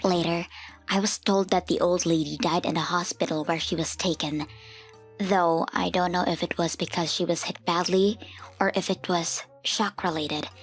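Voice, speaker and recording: A woman's voice: lowish voice, steady tone, moderate pace, husky, faint music behind. A woman reading a scripted first-person story as the narrator of a manga dub.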